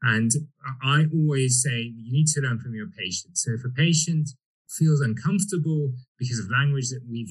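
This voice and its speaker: Man from Egypt, husky voice, medical lecture, measured, explanatory. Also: medium pitch, rough recording. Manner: measured, explanatory, with frequent fillers. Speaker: doctor presenting. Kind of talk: medical presentation.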